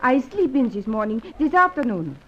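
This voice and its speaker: An actress playing a coy, breezy young French dancer in a radio drama, speaking broken English with a French accent. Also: female voice, high voice, very animated, moderate pace. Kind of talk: old-time radio drama acting. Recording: dull old radio recording.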